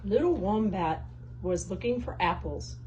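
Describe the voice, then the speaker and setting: A woman's voice: medium pitch, animated, unhurried, a little mellow, highs cut off. A woman hosting a read-aloud, reading a children's story and speaking directly to young listeners. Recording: clearly noisy background.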